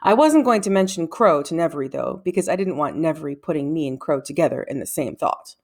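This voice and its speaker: Low, creaky-voiced woman storyteller. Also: lively intonation, fairly quick, a little mellow. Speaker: read-aloud narrator. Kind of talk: scripted storybook narration.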